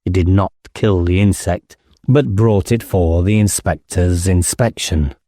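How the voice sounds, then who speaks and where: Slow, low-voiced male audiobook narrator. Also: warm tone, no echo, clean studio sound. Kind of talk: scripted literary narration.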